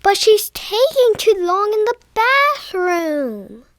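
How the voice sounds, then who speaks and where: A storybook reader voicing angry young bear cubs who squabble, shout and whine. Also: extremely high pitch, sing-song, slow, dry recording, studio quality, pitched above the performer's own voice, exaggerated voice. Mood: whiny complaint. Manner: shouting, whiny complaining. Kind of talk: children's storybook read-aloud.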